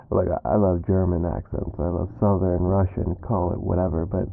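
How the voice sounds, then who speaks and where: A man playing a smug, dominant mafia boss kidnapper with a gravelly low voice, teasing and menacing, in an ASMR roleplay style. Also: fairly even intonation, unhurried, phone-quality sound, full character voice. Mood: chatty, smug.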